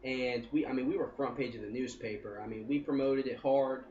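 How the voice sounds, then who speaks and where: A man's voice: mid-range voice, fairly even intonation, fairly quick, darkish tone, slight background noise. Young man rambling in a roomy, distant recording. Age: 20s. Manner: rambling, upbeat, with frequent "I mean" fillers. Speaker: entertainment company co-founder. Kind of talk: casual podcast interview. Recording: roomy, distant and dull sound.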